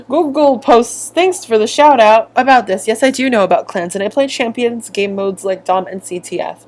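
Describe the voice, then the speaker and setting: Female video commentator, casual and sarcastic, mid-pitched, given to mimicking. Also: darkish tone.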